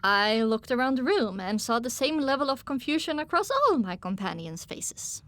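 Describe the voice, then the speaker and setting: Casting: female audiobook narrator, lightly raised pitch, telling a story dramatically in first person. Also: sing-song, moderate pace, a bit bright, dry recording.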